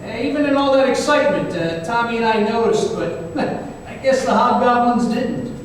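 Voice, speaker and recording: Radio play detective, played by a man: high voice, wry and conversational, heard far from the mic in a reverberant hall. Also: moderate pace. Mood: amused. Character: wisecracking male detective recounting a supernatural gunfight. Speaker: actor in a staged radio play. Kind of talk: old-time radio drama storytelling. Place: live performance in a reverberant hall. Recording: very echoey hall, distant mic, faint noise.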